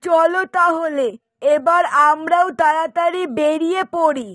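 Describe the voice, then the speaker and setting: A woman's voice: very high-pitched, steady tone, unhurried, a bit bright, no room sound, studio quality. A woman voicing an agreeable, homely middle-aged mother-in-law in a cartoon, speaking with an approving manner. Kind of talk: cartoon story dialogue.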